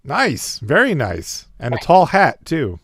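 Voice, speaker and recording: A man's voice: fairly high pitch, wildly swooping pitch, slow, a bit bright, slightly rough, dry recording. A man hosting a podcast in casual chat, with a sore throat and a fading voice, trading dry upbeat banter. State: sore throat, losing his voice. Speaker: podcast host.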